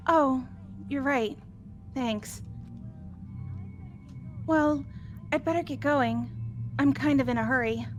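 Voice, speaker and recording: A woman's voice: high voice, slow, long pauses, dull audio, over music. A woman playing a small, timid, flustered teenage student in game dialogue, hesitant and nervous. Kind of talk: fan dub of visual novel game dialogue.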